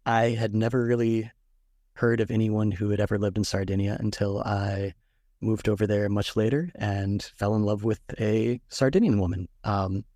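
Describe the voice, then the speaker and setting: A man in his thirties with a fairly low voice recounts his travels as an author guest. He talks easily and loosely, pausing on ums. The sound is dry with no room echo. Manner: relaxed, storytelling with ums. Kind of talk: interview answers.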